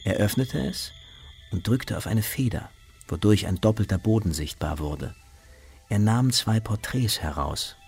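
A man tells the story in a literary radio drama. His voice is very low and husky, with faint music underneath. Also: lively intonation, measured pace, a little mellow. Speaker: narrator.

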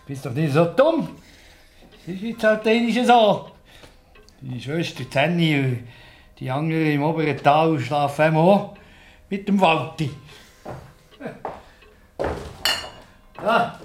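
A man's voice: on the high side, slight background noise, close to an everyday voice. An actor playing a village innkeeper and father in a radio play, speaking colloquially with a Swiss German accent. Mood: matter-of-fact, bossy. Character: village innkeeper, scheming father talking to his son. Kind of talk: radio play acting in dialect-tinged German.

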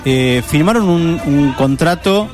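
A man's voice: on the high side, expressive, slow pace, darkish tone, soft background music. A man talking at length about films on a radio show, often restarting his sentences. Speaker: radio co-host. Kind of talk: casual radio chat on films.

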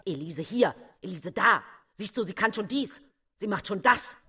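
An actress playing the hateful, envious inner voice of a woman poisoner, sharp and theatrical, in a radio drama. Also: medium pitch, expressive, moderate pace, music in the background, natural voice. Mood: mocking. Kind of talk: dramatic German radio play monologue. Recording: long reverberant hall effect.

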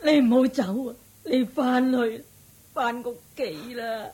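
A woman's voice: a little high, slow, a little mellow, slightly rough. A woman playing a fretful elderly mother in a radio drama, plaintive and sighing, with a Hong Kong Cantonese accent. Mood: pleading.

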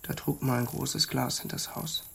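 An actor playing a haunted, simple country man in a radio drama, halting and hushed, with a gravelly, husky voice. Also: mid-range voice, level tone, heavily acted. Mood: haunted, remorseful.

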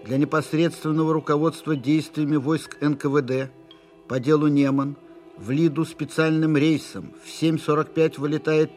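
A fairly high male voice, played by an actor, delivers military dispatches like an official reader. He is clipped, formal and impersonal. Music plays clearly behind him in this radio drama. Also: lightly acted. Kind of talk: formal telegram reading in audio drama.

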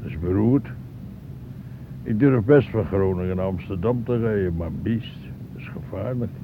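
Man acting a deep-voiced grumbling older husband. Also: normal speed, close to an everyday voice. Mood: worried. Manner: terse, grumbling. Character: grumbling, worried older husband driving a car. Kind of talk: naturalistic radio drama acting. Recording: tinny, noisy recording with vehicle rumble.

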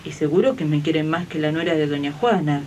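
A woman's voice: deep voice, fast talker, warm tone, close to an everyday voice. A woman playing an older, indignant Argentine domestic worker in a 1980s radio drama, talking colloquially and emphatically, often repeating herself. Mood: hurt, indignant. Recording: muffled, distant, noisy archive radio recording.

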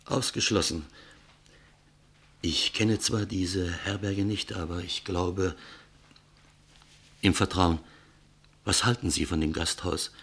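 Husky, low-voiced careworn businessman. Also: fairly even intonation, takes it slowly, long pauses, a bit bright, heavily acted. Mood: careworn, confiding. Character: wary, careworn older businessman, courteous and talkative traveller. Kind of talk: German radio play acting.

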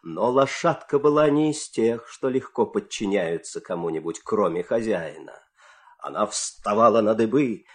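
A man narrating a children's fairy-tale radio play with dramatic, expressive storytelling delivery. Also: on the high side.

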